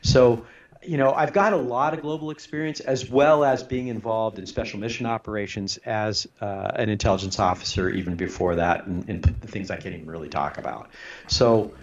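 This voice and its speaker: A man's voice: on the low side, normal speed. Earnest middle-aged man, lo-fi radio sound. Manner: earnest, stop-start, repeats words while thinking. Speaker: radio guest, retired army intelligence officer. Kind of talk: radio political commentary.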